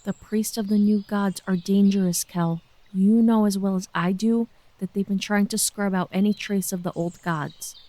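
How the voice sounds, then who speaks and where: A woman playing an earnest, wary villager in a sci-fi audio drama, speaking deliberately. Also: medium pitch, fairly even intonation, dark tone, dry recording, close to an everyday voice. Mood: urgent, cautioning.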